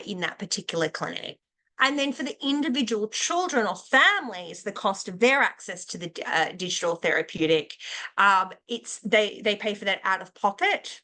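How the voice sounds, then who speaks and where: Australian-accented woman clinician, mid-pitched, measured explanatory webinar delivery with light fillers. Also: bright, forceful tone. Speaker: clinician presenter. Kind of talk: webinar presentation.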